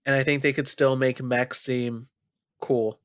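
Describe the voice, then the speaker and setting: A man's voice: mid-range voice, flat delivery, a little slow, a bit bright, no room sound, studio quality. A man with a nasal voice, co-hosting a podcast about video games in a casual, chatty style. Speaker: podcast host.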